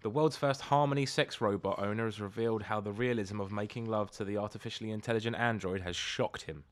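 A man's voice: fairly low pitch, quick pace. A man co-hosting a podcast, chatting casually and comically about odd news in a blunt, sweary manner. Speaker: podcast co-host.

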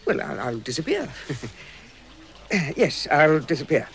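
A man's voice: on the high side, very animated, unhurried. A man with a British accent playing a small, plucky, fussy hobbit in a radio drama, flustered and prone to stammering. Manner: flustered, stammering, muttering to himself.

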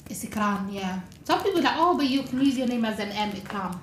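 A young woman, a podcast guest in casual chat, playful and animated. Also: fairly high pitch, fast talker. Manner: animated, playful, quoting others. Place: podcast studio, indoors. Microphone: studio mic on arm. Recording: echoey room, faint hiss.

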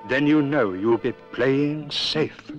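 A man's voice: medium pitch, slow pace, natural voice. A British man voicing a wise, stern cartoon owl who lectures emphatically about safety in a 1970s public information film. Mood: earnest lecturing. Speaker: safety instructor. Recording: dull old film soundtrack with music.

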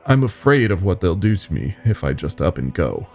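Man voicing a grave young whistleblower, lively pitch. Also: normal speed, faint music behind, heavily acted. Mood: uneasy. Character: young male company worker, nervous, regretful, confessing secrets.

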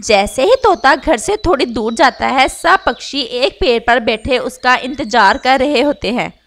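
A woman's voice: high voice, lively intonation, measured pace, fairly bright tone. A woman reading scripted narration for a children's story as its narrator.

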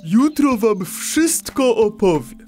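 A proud young cartoon hippo boy acted by a woman in a kids' audio play. The boyish voice is fairly high and unhurried, with music behind it. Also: sing-song, mellow. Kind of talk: children's audio play acting.

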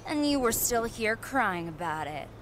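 Cocky calm rookie wrestler girl, faint hum behind. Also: on the high side, a little slow. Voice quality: confident, cool. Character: confident young female rookie wrestler.

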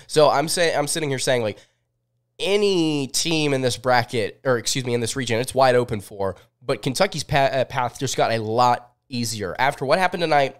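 A man's voice: mid-range voice, normal speed, smooth. Sniffly young man hosting a sports podcast. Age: 20s. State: sniffly with a cold. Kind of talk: casual live sports recap chat. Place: small indoor home studio. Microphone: close desk podcast microphone.

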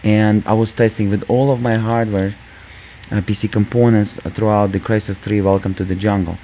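A man hosting a gaming channel, talking casually over gameplay with a squeaky voice, lots of fillers, and calling viewers "guys". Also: flat delivery, moderate pace, warm tone, slight background noise. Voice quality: squeaky, just-woken voice. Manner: rambling, many fillers, addresses viewers as guys.

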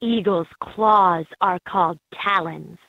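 A woman's voice: fairly low pitch, slow pace, vocal fry, dry recording, heavily acted. A woman reading scripted narration as the guide in a children's learning game, recorded as lo-fi toy audio. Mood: instructive.

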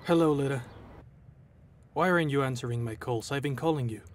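A man voicing an ex-partner softly pleading to be heard. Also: medium pitch, fairly quick, over music, natural voice. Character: pleading ex-partner calling back.

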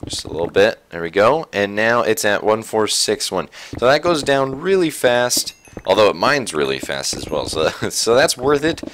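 A young man with a somewhat low, slightly raspy voice talks his way through a survival game, rambling and padding his sentences with fillers. His tone is relaxed and conversational, as if talking to viewers at his desk. A faint hiss and soft clicks sit under the voice. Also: moderate pace, few pauses. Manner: chatty, rambling, many fillers. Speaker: Minecraft YouTuber. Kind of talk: casual gameplay commentary.

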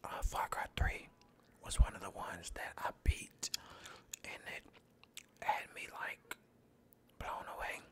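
A man's voice: slow, long pauses. Tired man whispering gameplay chatter. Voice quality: soft whisper, mostly unvoiced. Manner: rambling, laid-back, trash-talks the game. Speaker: gaming channel host.

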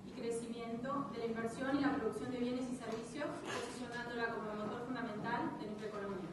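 A woman's voice: a little high, fairly even intonation, fast talker, recorded from a distance, heavy background noise. A young woman from Argentina reading a formal welcome speech at a podium microphone in a large reception hall. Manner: reads formally, with a few restarts. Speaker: event speaker. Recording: echoey hall, recorded from afar.

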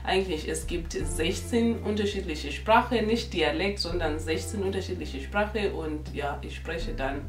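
A woman's voice: fairly low pitch, recorded from a distance. A young woman from Zimbabwe whose first language is isiNdebele, cheerfully teaching words in a casual chat on a couch. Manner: slow, searching for words, cheerful. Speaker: vlogger teaching her language. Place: indoor room, on a couch. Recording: roomy, off-mic, faint music.

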